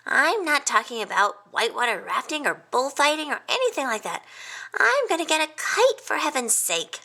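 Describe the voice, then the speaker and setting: A woman's voice: sits high, sing-song, normal speed, bright, forceful tone, pitched above the performer's own voice, heavily acted. A woman voicing a cheerful, determined young male pig with a breezy, self-assured manner.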